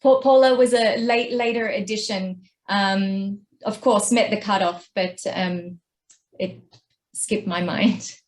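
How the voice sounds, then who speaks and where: A woman chairing an online parent meeting, calling on speakers in a polite, deliberate way. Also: medium pitch, steady tone. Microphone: video call.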